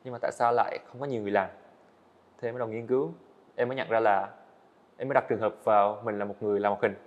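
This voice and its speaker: A man's voice: lowish voice, steady tone, rapid-fire, dull audio. An eighteen-year-old man, a guest on a podcast, telling his own story earnestly and answering politely. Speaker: guest, animator. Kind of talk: podcast interview. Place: indoor studio set.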